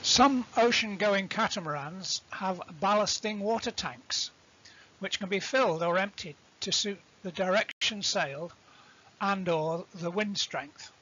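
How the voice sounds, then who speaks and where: A man giving an explanatory lecture as an instructor, deliberate and didactic, defining terms as he goes. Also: sits high, sharp tone, highs cut off. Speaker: instructor presenting a sailing tutorial.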